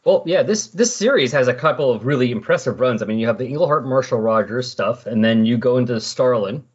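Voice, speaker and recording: A man's voice: mid-range voice, animated, a little fast, husky, muffled recording. A man hosting a podcast in a casual, conversational style. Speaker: podcast host. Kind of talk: casual chat about comic books.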